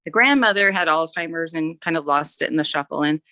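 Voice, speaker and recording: A woman's voice: sits low, brisk, bright, forceful tone, dry recording. A mature woman chatting casually as an author guest, rambling a little and correcting herself as she goes. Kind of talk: casual interview chat.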